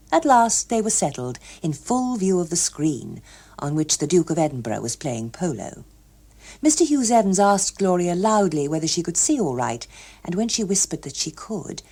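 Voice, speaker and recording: Female audiobook narrator, English accent: lowish, dark-toned voice, expressive scripted storytelling. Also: husky.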